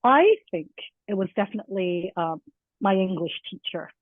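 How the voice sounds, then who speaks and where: Woman w a soft edinburgh accent gone a bit american, chatty, thin phone-line sound. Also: medium pitch, animated, normal speed, no room sound. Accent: mild Edinburgh Scottish with an American tinge. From Scotland. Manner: chatty, laughs often, many fillers. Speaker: guest teacher. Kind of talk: casual conversational interview.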